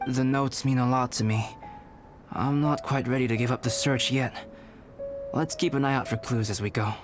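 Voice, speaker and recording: A man voicing a calm, enigmatic young male alchemist in a video game cutscene, measured and philosophical. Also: mid-range voice, close to an everyday voice. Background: game music.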